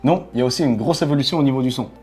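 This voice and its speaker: A man's voice: mid-range voice, rapid-fire, a little mellow, husky. A man co-hosting a motorcycle channel, giving a casual and enthusiastic product review. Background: background music.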